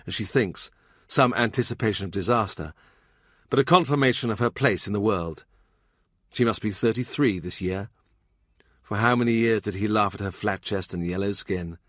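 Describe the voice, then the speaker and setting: A man narrating a literary historical novel as an audiobook, in a measured, dramatic storytelling manner. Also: lowish voice, fairly bright tone, no echo, clean studio sound.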